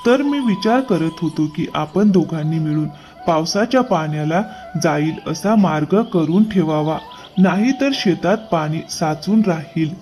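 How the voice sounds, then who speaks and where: A man's voice: on the high side, expressive, a little slow, darkish tone, faint music behind. A man playing a loyal, trusting farmer in a cartoon moral story, earnest and accommodating in manner. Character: trusting, hard-working, loyal male farmer.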